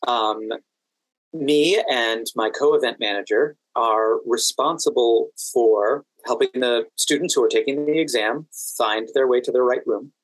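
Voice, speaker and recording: A man's voice: mid-range voice, a little slow, dry recording, rough recording. A man from Washington, D.C., explaining his work as an interview guest in an articulate, methodical, conversational way. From Washington, D.C., United States. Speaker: podcast guest, exam event manager and educator.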